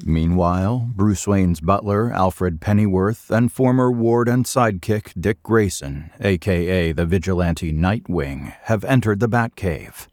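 Deep male voice, close dry recording, scripted audio drama narration. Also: a little slow, hardly any pauses, darkish tone, no echo.

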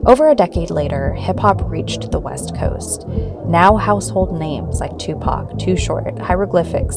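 An American woman from the San Francisco Bay Area speaking as the host of a radio podcast. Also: lowish voice. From San Francisco Bay Area, United States. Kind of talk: scripted narration and interview.

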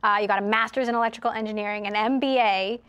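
A woman hosting a broadcast interview, introducing her guest and asking crisp, polished questions. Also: medium pitch, fairly even intonation, very fast, fairly bright tone. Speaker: interview host.

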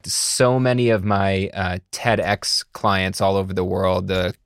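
A man's voice: deep voice, fairly even intonation, slow, clean tone, no room sound, clean studio sound. A man hosting a podcast, reading a scripted intro and then chatting casually and self-deprecatingly with his guest. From United States. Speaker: podcast host.

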